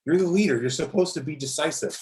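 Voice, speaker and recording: A man's voice: on the high side, quick pace, darkish tone, lightly acted. A man playing a cocky, argumentative superhero soldier with a needling, self-righteous manner in an amateur table read.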